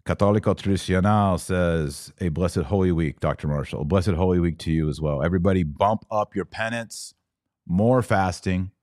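A man's voice: low voice, darkish tone, no room sound, studio quality. A man hosting a podcast in casual livestream style, talking informally and emphatically to viewers and repeating phrases for emphasis. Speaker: podcast host. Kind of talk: casual livestream chat answering viewer comments.

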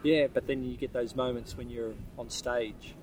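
A man's voice: mid-range voice, fairly even intonation, moderate pace, darkish tone, low-quality recording. Host, chatty male interviewer, encouraging, faint traffic noise behind. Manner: chatty, encouraging, frequent yeahs. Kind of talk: casual interview.

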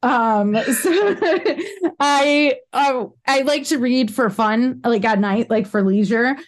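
An American woman in her thirties hosts a podcast with an easy, talkative manner. Her voice sits a little high, and she wanders into side notes between laughs. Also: slow pace. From United States. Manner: chatty, rambling side notes, frequent laughs. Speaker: podcast host. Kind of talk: casual interview chat.

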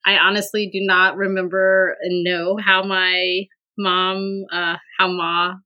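A woman's voice: fairly low pitch, little pitch movement, slow pace, highs cut off. A woman speaking as a podcast guest in a casual conversation, hesitant and full of fillers and restarts. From Vietnam. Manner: hesitant, many fillers and restarts, laughs often. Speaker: podcast guest, university administrator.

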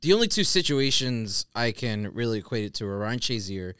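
A man's voice: medium pitch, very animated, fairly quick, pushed and bright, smooth, dry recording, clean studio sound. An American man in casual podcast chat, recounting and reasoning as a co-host.

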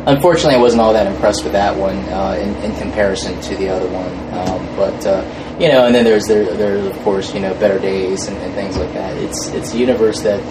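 Man, fairly deep voice, halting casual talk, repeated words, noisy humming room. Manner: hesitant, repeats words and trails off mid-phrase. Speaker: podcast guest, fan film crew member. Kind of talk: casual interview chat. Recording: noisy room with steady background hum.

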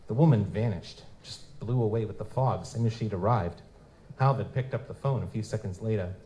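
An actor playing a world-weary, cynical private investigator in a live noir audio drama, wry and slangy in manner. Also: male voice, fairly low pitch, a little fast, warm tone, close to an everyday voice, rough recording. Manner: wry, slangy, hard-boiled. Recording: live convention performance, clear background noise.

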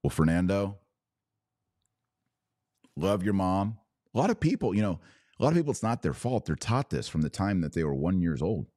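A man's voice: deep voice, very animated, normal speed, long pauses, dark tone, no room sound, studio quality. A man hosting a religious podcast, speaking straight to his viewers in an earnest, emphatic, preacherly way. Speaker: podcast host. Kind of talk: live religious commentary to viewers, with exhortation and prayer.

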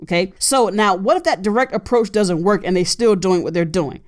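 A woman's voice: mid-range voice, normal speed. Earnest woman in her thirties giving advice. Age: 30s. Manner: earnest, repeats key points for emphasis. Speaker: podcast host. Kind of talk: advice podcast monologue.

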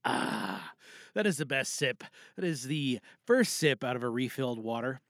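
A man's voice: medium pitch, lively intonation, moderate pace, vocal fry, fairly bright tone, no echo, clean studio sound. A man casually hosting a podcast with playful showman patter, often thinking aloud. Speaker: host and monologue performer.

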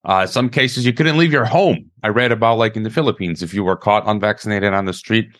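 A man's voice: fairly low pitch, brisk, a bit bright. A man hosting an interview who stammers and repeats words as he gathers his thoughts. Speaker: interview host. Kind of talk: conversational interview.

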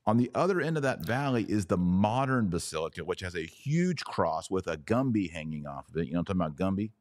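A man's voice: deep voice, big pitch swings, fast, dry recording, studio quality. A man hosting a podcast in casual, conversational commentary with a blunt, opinionated way of talking. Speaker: podcast host.

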